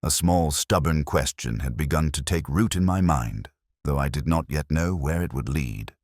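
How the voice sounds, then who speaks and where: A man playing a brooding, observant police inspector, narrating his case in a measured, reflective, literary way. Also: very deep voice, a little mellow, no room sound, clean studio sound. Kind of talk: scripted first-person detective story narration.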